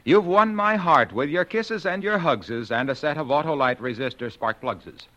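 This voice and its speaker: A man working as a commercial announcer, delivering a peppy, salesmanlike 1940s radio ad pitch. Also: animated, normal speed, a bit bright. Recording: dull vintage 1940s radio broadcast.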